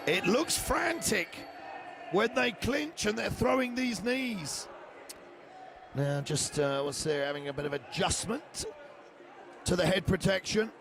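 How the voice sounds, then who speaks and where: An older man giving live fight commentary, excitable and hoarse as he loses his voice, shouting the big moments. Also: high-pitched, measured pace, pauses often. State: losing his voice. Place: arena.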